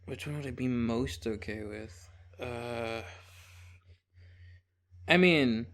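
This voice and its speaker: A man's voice: medium pitch, slow pace, fairly bright tone. A young man co-hosting a podcast in casual comedic chat, rambling through his stories with plenty of swearing. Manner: joking, profane, rambling storyteller. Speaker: podcast co-host.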